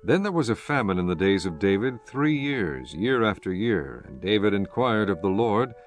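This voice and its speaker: Low-voiced man narrating scripture solemnly. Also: very animated. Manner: measured, formal.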